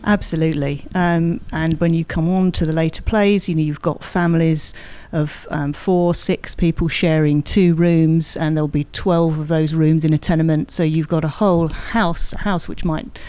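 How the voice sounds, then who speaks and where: Mellow-voiced woman explaining things articulately. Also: low voice, fairly even intonation, normal speed, few pauses, clean tone, dry recording. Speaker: guest expert, university drama lecturer. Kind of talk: studio interview, informed explanation. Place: radio studio.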